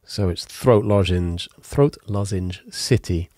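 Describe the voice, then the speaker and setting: Congested man with a deep low voice. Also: slow, warm tone, dry recording, clean studio sound. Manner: rambling, self-correcting, frank. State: congested, stuffy nose. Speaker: podcast host and musician. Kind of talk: casual solo talk while playing piano. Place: home music studio with keyboards. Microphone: studio mic on boom arm, headphones on. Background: his own piano playing.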